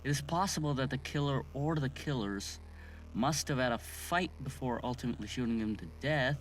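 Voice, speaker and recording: A man acting an earnest autopsy doctor in an amateur audio drama, talking in a deliberate, conversational way. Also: medium pitch, lightly acted, low-quality recording. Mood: matter-of-fact. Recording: background noise.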